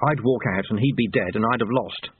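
A man's voice: medium pitch, fairly quick, slightly rough, narrow, tinny audio. A man voicing an earnest, easily indignant young apprentice monk who speaks in a protesting way, in an audiobook. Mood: indignant, strained.

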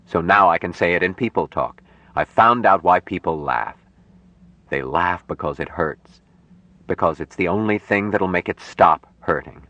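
A very low-voiced, solemnly tender young outsider acted out by a man from an audiobook novel. He phrases things slowly and formally, with expressive swings of pitch, on a slightly dull recording. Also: no room sound, heavily acted. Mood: earnest, elated. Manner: slow, deliberate, formal phrasing. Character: earnest young man raised apart from humans, solemnly tender.

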